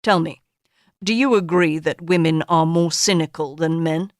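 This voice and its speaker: A woman's voice: low voice, normal speed, no room sound, clean studio sound, natural voice. A woman voicing a pompous, grandiose middle-aged male tycoon in an audiobook, speaking in a declaiming, orotund manner.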